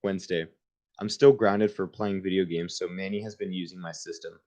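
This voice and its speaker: A man's voice: deep voice, steady tone, fairly quick, a little mellow. A man reading a children's book aloud in an informal way, with casual asides of his own. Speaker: reader hosting a book livestream.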